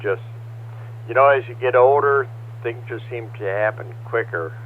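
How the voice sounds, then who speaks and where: An older man chatting over a ham radio net, hoarse from a cold, talking haltingly with many fillers. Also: medium pitch. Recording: tinny two-way radio transmission with faint hum.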